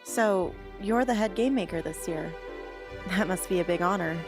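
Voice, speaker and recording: A woman's voice: fairly low pitch, normal speed, natural voice. A woman playing a guarded, strong-willed young heroine in an amateur radio drama. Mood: polite. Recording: music behind.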